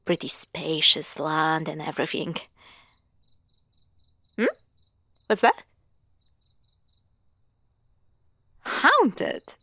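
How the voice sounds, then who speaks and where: A woman's voice: low-pitched, wildly swooping pitch, pushed and bright, no room sound, full character voice. A woman playing a skeptical, teasing young girlfriend in an audio roleplay, chatting casually with friends. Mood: curious, impressed, then amused, skeptical. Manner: casual, teasing, hesitant pauses. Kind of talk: audio roleplay acting, conversational.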